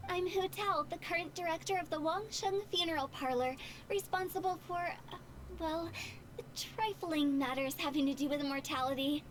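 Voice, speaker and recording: A woman's voice: very high-pitched, normal speed. A woman voicing a playful, quirky young funeral parlor director in a video game, speaking in a breezy, theatrical way. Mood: playful, sly. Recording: game audio with background noise.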